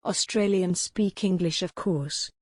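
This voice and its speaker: A woman reads scripted text aloud as an exam practice model. Her voice is a little low for a woman, and it is captured dry, without echo. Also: measured pace. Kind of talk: scripted read-aloud narration.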